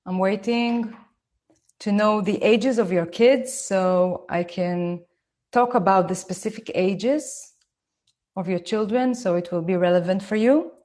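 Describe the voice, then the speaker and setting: A woman in her forties from Israel with an Israeli accent, speaking slowly and carefully as she gives a live online talk to parents. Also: medium pitch, darkish tone. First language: Hebrew. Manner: slow, deliberate, and careful for her listeners. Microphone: phone.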